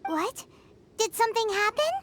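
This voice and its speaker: Squeaky tiny fairy girl. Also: animated, normal speed, noisy background. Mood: puzzled, concerned. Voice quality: squeaky, childlike. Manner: blunt, eager, speaks of herself in third person. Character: tiny, childlike, excitable floating fairy companion girl. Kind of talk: fantasy video game voice acting. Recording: clean game dialogue with music behind.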